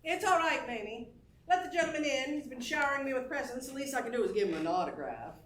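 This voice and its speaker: An actress playing a brassy, playful vaudeville star, trading good-humored wisecracks in a staged reading. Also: female voice, high-pitched, lively intonation, fast talker. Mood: amused, breezy. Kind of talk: theatrical acting in a staged musical reading. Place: indoor staged reading, recorded from a distance. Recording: distant stage mic, roomy, faint noise.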